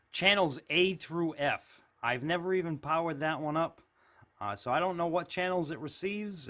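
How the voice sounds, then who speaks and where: A man's voice: on the high side, a bit bright. A man giving a casual, unscripted show-and-tell as a hobbyist, speaking in a plain, matter-of-fact way behind a handheld camera indoors. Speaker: CB radio hobbyist giving a tour of his radio shack. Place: indoor room with shelves of radios.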